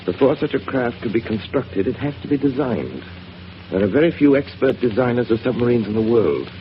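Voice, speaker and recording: A calm gentleman detective played by a man, measured and confident, with a medium voice. The audio is dull and old-fashioned, like a 1930s radio broadcast, with a faint hiss. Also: medium pitch, natural voice. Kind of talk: 1930s radio drama acting.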